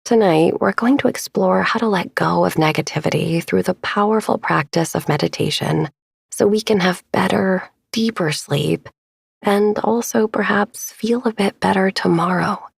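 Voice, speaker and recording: A woman hosting a sleep meditation podcast, speaking in a gentle, unhurried, intimate way as she narrates soothingly. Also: medium pitch, gravelly, breathy edge, no echo, clean studio sound. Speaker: podcast host and meditation guide.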